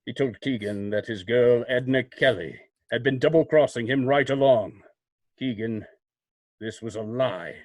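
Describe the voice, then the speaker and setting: An actor playing a knowing crime-fighting avenger in old-time radio drama style, speaking in a measured, formal, commanding way. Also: male voice, medium pitch, darkish tone, dry recording, close to an everyday voice. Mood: grave revelation. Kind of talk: 1940s radio drama acting.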